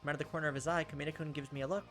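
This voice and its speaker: A man's voice: fairly high pitch, steady tone, racing, fairly bright tone, soft background music. A man hosting a gameplay video, reading a visual novel aloud as dramatized, playful narration.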